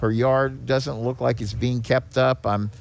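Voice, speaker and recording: Guy guest on a podcast, average pitch, explains stuff in a measured chatty way. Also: steady tone, creaky. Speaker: charity executive director, podcast guest. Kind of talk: conversational explanation in an interview.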